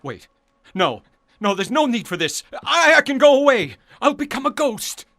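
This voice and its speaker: A man's voice: very high voice, sing-song, moderate pace, sharp tone, exaggerated voice. A man playing a desperate, panicky young would-be thief in a radio drama, prone to stammering. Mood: panicked pleading. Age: young adult. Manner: stammering, pleading.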